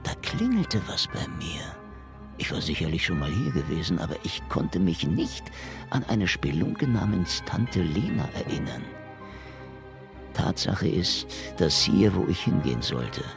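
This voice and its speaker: An actor playing a wry, world-weary middle-aged investigator in a noir radio drama, dry and laconic, with a gravelly voice. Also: male voice, deep voice, very animated, measured pace, full character voice. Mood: dry narration. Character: wry, hard-boiled, world-weary older investigator in Moscow. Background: music.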